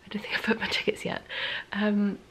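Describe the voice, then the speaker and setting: A stuffy-nosed woman rambles through a relaxed vlog update at home in the evening. Her voice has a gravelly, fry-tinged edge, her pitch sits mid-range, and she often slips into near-whispers. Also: fairly quick, low-quality recording. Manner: rambling, chatty, sometimes drops to a near whisper. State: congested, sniffly. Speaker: knitting vlogger. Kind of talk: casual vlog chat.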